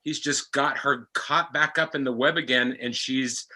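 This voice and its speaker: A man with a very bright, sharp voice at a middling pitch talks through his thoughts. He is earnest and halting, often circling back to repeat a phrase. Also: steady tone. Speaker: actor and podcast panelist. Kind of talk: casual reflective discussion.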